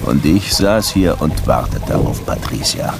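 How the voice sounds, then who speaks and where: Very deep-voiced weary detective. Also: male voice, lively intonation, a little slow. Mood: brooding. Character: world-weary Cuban male police detective narrating his case. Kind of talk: radio play narration and dialogue. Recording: music under the voice.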